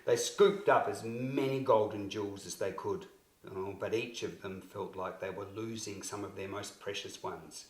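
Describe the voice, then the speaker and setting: A man narrating a scripted children's story in an expressive, deliberate way, with emphatic phrasing for young listeners. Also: lowish voice. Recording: roomy, echoing sound.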